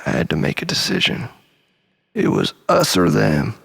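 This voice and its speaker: A man telling a rambling, melodramatic story as a mock-philosophical monologue, in a husky, gravelly voice with long echo. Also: fairly low pitch, wildly swooping pitch, normal speed, a bit bright. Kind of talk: dramatic comic monologue storytelling. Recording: long reverberant hall echo.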